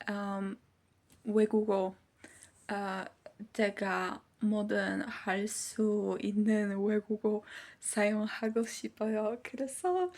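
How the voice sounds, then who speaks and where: A woman's voice: on the high side, darkish tone. A woman, a student vlogger chatting casually in a rambling, informal way. is her language. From Poland. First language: Polish. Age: early 20s. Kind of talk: casual chat.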